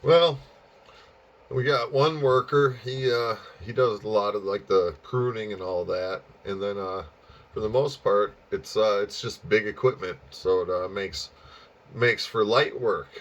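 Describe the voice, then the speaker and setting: An American man chatting casually and easygoingly over ham radio, rambling along with drawn-out pauses. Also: fairly low pitch. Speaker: amateur radio operator and channel host. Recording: dull, lo-fi sound.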